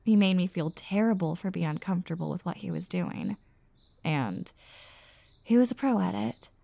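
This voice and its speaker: Woman acting exasperated young woman, gravelly creak. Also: lowish voice, animated, normal speed, dark tone, no room sound. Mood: bitter, venting. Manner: venting, sighing, sarcastic asides. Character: exasperated single young woman rescued from a bad date. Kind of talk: roleplay audio drama, intimate conversational monologue.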